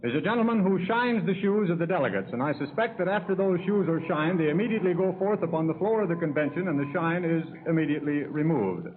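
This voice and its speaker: Male 1930s radio reporter, rapid and formal, thin hissy broadcast sound. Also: fairly high pitch, animated, phone-quality sound. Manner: formal, wordy. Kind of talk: live 1930s convention interviewing.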